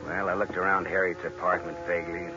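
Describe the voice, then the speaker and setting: An actor playing a wry, tough private detective who narrates a 1940s radio noir, deadpan and laconic. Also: male voice, deep voice, fast, lightly acted. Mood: wry, somber. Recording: muffled vintage radio recording, dramatic music.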